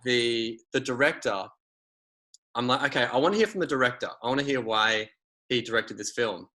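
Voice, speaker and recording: Bright-voiced man chatting. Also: mid-range voice, a little fast. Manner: rambling, many fillers and restarts. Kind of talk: casual conversation.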